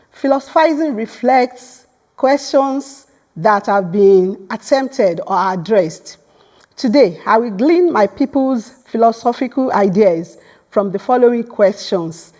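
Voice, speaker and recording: A woman's voice: mid-range voice, dark tone. Earnest woman giving an academic lecture. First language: Yoruba. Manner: earnest, repeats words when starting a phrase. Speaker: guest lecturer. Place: lecture hall.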